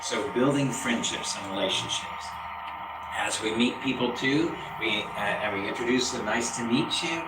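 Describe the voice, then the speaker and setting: A man's voice: medium pitch, normal speed. A man teaching a classroom lesson, speaking plainly and repeating himself as he drills his students. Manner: repetitive, drills students with call-and-response. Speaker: English teacher. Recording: echoey classroom from far off, noisy.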